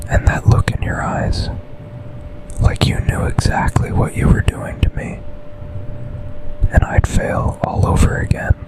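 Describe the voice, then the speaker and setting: A man playing a smitten, commanding yet tender boss and secret lover in an intimate ASMR roleplay, speaking in a breathy, seductive whisper. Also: lightly acted, rough recording. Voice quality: breathy, almost entirely unvoiced whisper. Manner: slow, deliberate, seductive, intimate.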